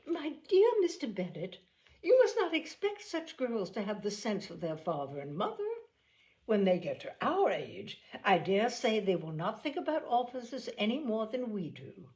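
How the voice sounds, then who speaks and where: A woman voicing an excitable, fussy, gushing middle-aged mother in a storybook reading. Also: medium pitch, normal speed, lightly acted. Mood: indignant, fond. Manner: indignant, exclamatory, fussy.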